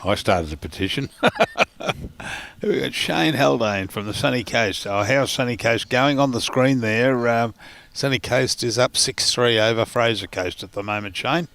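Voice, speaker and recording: Gravelly Australian man commentating bowls. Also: medium pitch, moderate pace, a bit bright. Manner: chatty, laughs often, many fillers. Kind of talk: relaxed live sports commentary. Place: outdoors at a bowls green.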